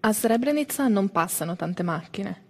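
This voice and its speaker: A woman's voice: mid-range voice, lively intonation, normal speed, husky. A woman playing an earnest, naive young girl in a theatrical monologue. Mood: wistful, naive. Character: young girl recalling her small-town childhood, naive and earnest.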